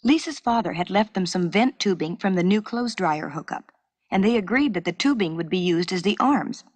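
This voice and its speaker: Expressive woman narrator, animated and slightly husky. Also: medium pitch, moderate pace, no echo. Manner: expressive storytelling. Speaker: narrator of a children's educational filmstrip. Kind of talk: scripted children's story narration. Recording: dry 1970s educational cassette recording.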